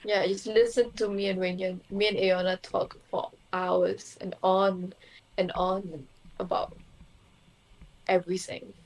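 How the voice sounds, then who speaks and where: A woman's voice: medium pitch, level tone, unhurried, a little mellow, poor audio. A young woman co-hosting a podcast, chatting casually and earnestly and laughing easily. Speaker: podcast co-host. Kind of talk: casual chat.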